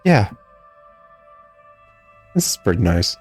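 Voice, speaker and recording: A man's voice: lowish voice, big pitch swings, slow pace, breathy edge, dry recording, faint music behind. A man giving sarcastic, exasperated gameplay commentary. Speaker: gamer commentating a playthrough. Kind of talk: mocking gameplay commentary, reading game text aloud.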